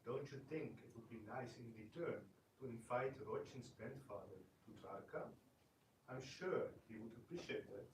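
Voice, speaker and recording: A man's voice: mid-range voice, moderate pace, lightly acted. A man playing a polite, earnest young prince in a theatrical reading. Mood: respectful hope. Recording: echoey room, distant mic, background noise.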